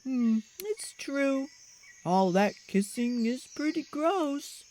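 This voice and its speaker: Woman as a slow-talking, friendly jungle buddy. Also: high-pitched, animated, warm tone, a little hiss or hum, voice pushed up. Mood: reassuring.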